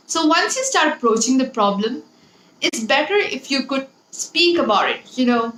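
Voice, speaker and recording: A woman's voice: sits high, lively intonation, normal speed, fairly bright tone. A young woman giving tips in a casual, chatty and playful vlog style, with emphatic delivery. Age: young adult. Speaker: YouTube host giving interview tips. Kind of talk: casual scripted advice vlog. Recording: echoey room with background noise.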